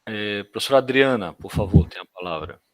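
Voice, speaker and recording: Low-voiced male webinar moderator, dry sound. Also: expressive, brisk, no echo. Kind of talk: chairing a webinar. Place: video call.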